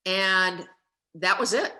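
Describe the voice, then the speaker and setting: A woman's voice: medium pitch, takes it slowly, pushed and bright. A woman in her fifties telling an earnest story on a panel over a video call, speaking emphatically. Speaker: alumni panelist. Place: living room.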